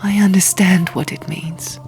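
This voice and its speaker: Cold abbess acted by a woman narrator for fantasy audiobook: hushed, condescending, slow, with a creaky edge over music. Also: fairly low pitch, steady tone. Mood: cold, scolding. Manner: quiet, measured, condescending. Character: stern, cold, dismissive abbess, scolding quietly.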